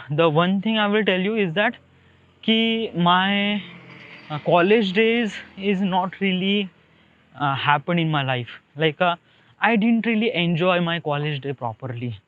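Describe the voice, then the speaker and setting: A young man with an Indian accent rambles casually in a monologue, hesitating and repeating himself as he searches for words. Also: fairly high pitch. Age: young adult. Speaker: solo podcaster. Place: outdoors beside a highway, walking. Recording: thin phone-line-like sound.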